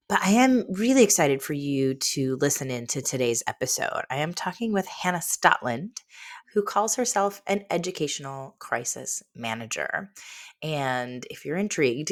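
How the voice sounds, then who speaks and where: A woman hosts a podcast while still a bit hoarse after an illness. Her voice sits a little low. She introduces the episode and then leads the interview. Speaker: podcast host.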